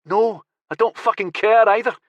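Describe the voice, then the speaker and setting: Here is a frightened, enraged young hostage, played by a man reading the story, whose voice jumps high into strained shouts and curses. The audiobook recording is close and dry, with no room around it. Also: fairly even intonation, normal speed, dry recording, lo-fi sound, natural voice. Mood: panicked, furious. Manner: panicked shouting and swearing. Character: terrified, furious young man held captive.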